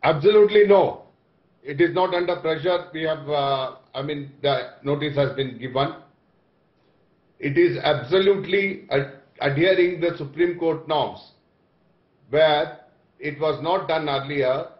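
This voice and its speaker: A middle-aged man with an Indian accent, a party spokesperson defending his position in a TV debate with halting, wordy phrasing. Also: a little high. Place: small room, indoors, on video call. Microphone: video call. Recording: echoey, dull video-call sound.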